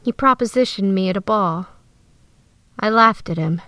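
A man voicing a young noble girl who speaks flatly and plainly, in an audiobook. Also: high voice, normal speed, dry recording, voice pushed up. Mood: earnest. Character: blunt, nervous teenage noble girl. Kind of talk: audiobook character voice.

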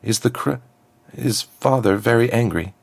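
A man's voice: medium pitch, measured pace, gravelly, no room sound, voice pushed up. A man playing a proud, hot-tempered young princess in a story, speaking with formal, earnest defiance. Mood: anxious.

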